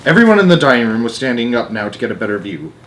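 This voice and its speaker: A man narrating a children's storybook aloud in an animated read-aloud style. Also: mid-range voice, rapid-fire, slight background noise, low-quality recording.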